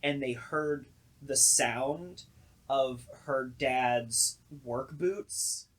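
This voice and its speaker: A man's voice: mid-range voice, extremely slow, a bit bright. A young man co-hosting a podcast, telling stories casually and informally and acting out reactions as he goes. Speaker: podcast co-host. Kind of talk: casual storytelling chat.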